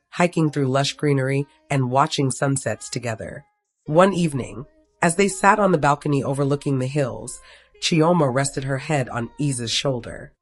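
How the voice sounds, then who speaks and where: A narrator reading a scripted romantic story, telling it in the third person. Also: medium pitch.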